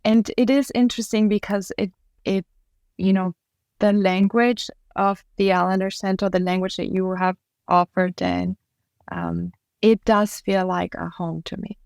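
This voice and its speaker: A woman whose first language is German, speaking as a podcast guest in a thoughtful, measured way, often pausing to find her words. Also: medium pitch, fairly even intonation, gravelly, darkish tone, dry recording, studio quality. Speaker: guest, trauma care facilitator trainer. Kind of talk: reflective podcast conversation.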